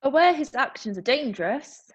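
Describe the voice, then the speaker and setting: A woman's voice: sits high, animated, moderate pace, dull audio. A woman reading stage directions aloud in a plain, matter-of-fact way.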